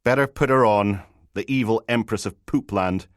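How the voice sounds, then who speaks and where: A man's voice: on the low side, moderate pace, dry recording, voice pushed up, natural voice. A grumpy, weary police sergeant, acted by a male audiobook narrator. He is sarcastic and curt, his voice lifted in exasperation. The recording is close and dry. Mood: resigned.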